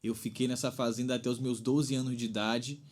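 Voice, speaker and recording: A man's voice: mid-range voice, level tone, fairly quick. Man, reflective and rambling. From Bahia, Brazil. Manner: thoughtful, rambling with frequent "né?". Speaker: singer-songwriter guest. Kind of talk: reflective interview talk.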